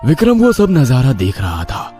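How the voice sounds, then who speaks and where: A man's voice: mid-range voice, wildly swooping pitch, moderate pace. A man narrating a scripted horror story in a dramatic, suspenseful manner. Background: background music.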